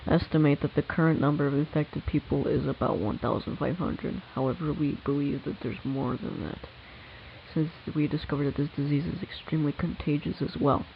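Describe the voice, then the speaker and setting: A man playing a government scientist giving a grave, careful public statement, speaking semi-formally and a little haltingly, on a lo-fi recording. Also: fairly high pitch, fairly even intonation, gravelly. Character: male government disease scientist addressing the public, grave, hesitant. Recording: dull lo-fi audio with hiss.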